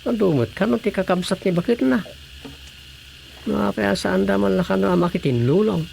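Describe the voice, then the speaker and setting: Gossipy male villager, highish gravelly voice, curious radio-drama chatter over faint noise. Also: moderate pace, a little mellow, rough recording. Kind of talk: Ilocano radio drama acting. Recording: faint background noise with crickets.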